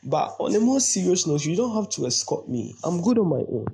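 A man's voice: high-pitched, very animated, a little fast, gravelly, dark tone, exaggerated voice. A man acting an easygoing young boyfriend in an audio play, with a Ghanaian accent. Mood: teasing. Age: young adult. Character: easygoing, teasing young boyfriend, devoted to his mother. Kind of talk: dramatic dialogue in an audio play.

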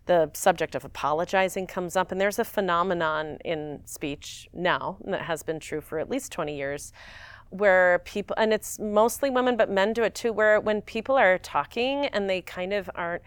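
A woman in her forties, an actress guest, talking in a rambling, animated way and laughing often. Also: fairly low pitch, moderate pace, smooth, no room sound. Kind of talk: casual interview chat.

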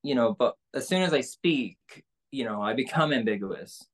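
A woman singer with a low speaking voice, talking candidly and loosely with many fillers in an interview. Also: lively intonation, normal speed. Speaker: singer-songwriter guest. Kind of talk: casual interview conversation.

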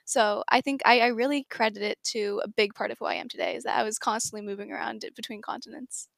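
A woman's voice: on the high side, fairly even intonation, fast talker, vocal fry, fairly bright tone, no echo. A sixteen-year-old girl from Seattle, answering interview questions casually and eagerly, with frequent fillers. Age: teens. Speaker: guest, nonprofit founder.

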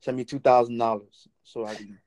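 A man in casual podcast chat, joking and animated. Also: medium pitch, fairly quick. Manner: animated joking, laughs loudly.

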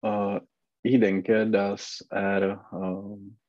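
A man's voice: fairly low pitch, flat delivery, drawn-out words, no room sound, highs cut off. A man with a Czech accent and a cold, learning German and searching for words with many hesitations. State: has a cold. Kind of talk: halting online language lesson.

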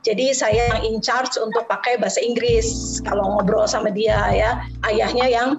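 A woman's voice: on the high side, fairly even intonation, normal speed, over music. A woman in her thirties from Jakarta with a slight Betawi accent, talking casually as an interview guest and mixing in English words. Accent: Betawi-tinged Jakarta Indonesian. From Jakarta, Indonesia. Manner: chatty, mixes in English words, frequent fillers.

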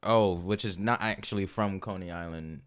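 A man with an American accent and a low, clear voice co-hosts a casual comedy podcast. He reads out information in a halting, conversational way, joking as he goes. His tone is deep and smooth. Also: steady tone. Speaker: podcast co-host.

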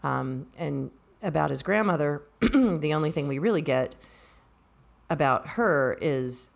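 A woman's voice: low-pitched, lively intonation, a little slow, gravelly. A woman co-hosting a book discussion, thinking aloud in a casual, reflective way.

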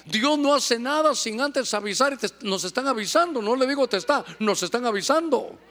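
High-voiced male preacher, lively and joking, acts out little scenes. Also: moderate pace. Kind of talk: sermon.

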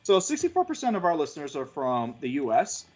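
A man's voice: on the high side, huge pitch swings, a little fast, a little mellow, breathy edge, soft background music. A man around forty hosting a casual podcast, rambling through stats with crude jokes and many fillers. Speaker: podcast host.

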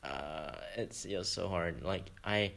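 A man's voice: deep voice, takes it slowly, vocal fry, fairly bright tone. A young man talking casually on a student podcast, hesitant and often laughing as he trails off. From Sweden. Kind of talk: casual chat.

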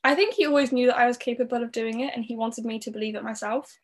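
A woman's voice: a little high, fairly even intonation, quick pace. A young woman raised in the Netherlands, speaking with a Southern English accent, telling her story as a guest in a podcast interview in a chatty way full of "like". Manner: chatty, frequent "like" fillers.

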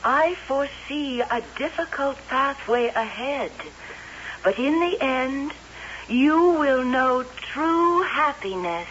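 Very slow, mystical fortune-telling princess. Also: female voice, high-pitched, animated, a bit bright, a little hiss or hum, exaggerated voice. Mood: solemn, soothing. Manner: slow, solemn, oracular. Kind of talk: old-time radio drama acting. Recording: muffled old radio, music behind.

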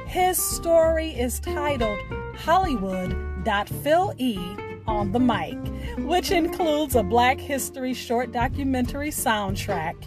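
A woman with a fairly high voice hosts a scripted storytelling podcast. She speaks very slowly, enunciating each phrase with deliberate emphasis. Music plays clearly behind her words. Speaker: podcast host. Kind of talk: scripted podcast outro with spoken poetry.

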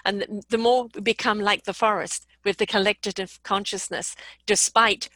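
A woman with a bright, forward voice talks earnestly and warmly about inner wisdom, her thoughts running on in long strands. The sound is dry and close, and she laughs often between points. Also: mid-range voice, fairly even intonation, normal speed, no echo. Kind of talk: casual spiritual conversation.

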